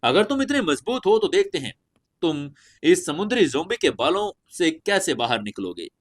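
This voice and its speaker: Young male hero, performed by a male narrator: cocky, mocking, animated higher voice, audio fantasy serial. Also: moderate pace. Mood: sarcastic goading. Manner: mocking, sarcastic, brash.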